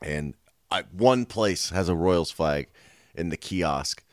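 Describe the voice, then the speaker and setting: A man in his thirties with a hoarse, raspy throat, explaining things in a knowledgeable, low-key way during a casual chat. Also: takes it slowly, dry recording, clean studio sound. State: hoarse today. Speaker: co-host.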